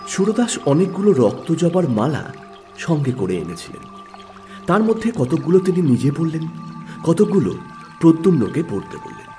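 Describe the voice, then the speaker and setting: Guy reading a classic story all dramatic, highish voice that swings a lot, music playing behind. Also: mellow. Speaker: storyteller, narrator. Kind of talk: dramatic literary story narration.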